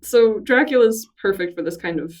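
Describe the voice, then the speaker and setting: A woman's voice: mid-range voice, lively intonation, normal speed, a little mellow, recorded from a distance. A woman hosting a podcast interview, friendly and laughing easily, with some hesitant phrasing in her questions.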